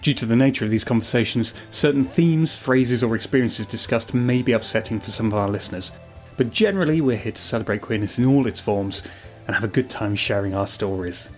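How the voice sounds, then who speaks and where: A man hosting a podcast interview, speaking in a friendly, measured way. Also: medium pitch, faint music behind. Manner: friendly, measured, scripted intro then chat. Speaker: podcast host.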